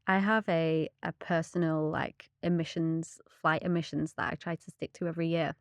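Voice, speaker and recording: A woman's voice: sits low, level tone, normal speed, no room sound. A young adult woman hosting a casual podcast interview, chatty and full of "like". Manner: chatty, filler "like". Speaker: podcast host.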